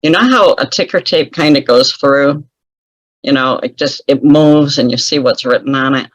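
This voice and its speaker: A woman's voice: a bass voice, normal speed, no room sound, muffled recording. A woman telling a personal story as an interview guest, chatty and wry, laughing often at her own remarks. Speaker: guest author.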